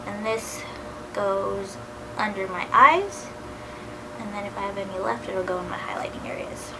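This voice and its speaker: A woman's voice: mid-range voice, steady tone, moderate pace, background noise. Chatty woman in her thirties with a cold. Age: 30s. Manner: casual and chatty, drifting into soft near-whispers. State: has a cold, a little nasal. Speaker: beauty vlogger. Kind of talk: chatty makeup tutorial.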